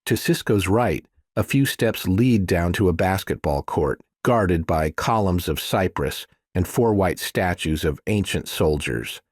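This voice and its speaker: Deep, husky male audiobook narrator. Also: lively intonation, a little slow, hardly any pauses, darkish tone, no room sound, studio quality. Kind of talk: scripted thriller narration.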